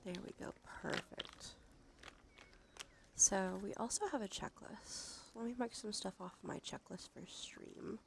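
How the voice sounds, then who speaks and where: A woman hosting a casual ASMR livestream, chatting softly and narrating what she does, with a breathy voice that often slips into a whisper. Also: medium pitch, unhurried, background noise. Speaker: livestream host. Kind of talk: casual ASMR stream chat. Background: background music.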